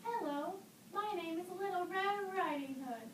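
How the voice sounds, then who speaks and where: A woman's voice: extremely high pitch, lively intonation, unhurried. A woman voicing a sweet, innocent little girl in a fairy-tale puppet show, with a childlike, singsong delivery. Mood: sweet, eager. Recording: distant roomy recording with clear noise.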